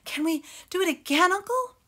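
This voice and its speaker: A woman doing squeaky excited wolf cubs. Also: normal speed, exaggerated voice. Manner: high, loud, excited exclaiming. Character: excited little wolf cubs, small and eager.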